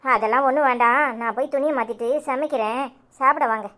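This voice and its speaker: A woman's voice: high voice, quick pace, sharp tone. Sulky, sarcastic village wife voiced by a woman. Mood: scolding. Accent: Kanyakumari Tamil. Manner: sarcastic, scolding. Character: sulky, sharp-tongued village wife and mother. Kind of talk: cartoon village comedy dialogue.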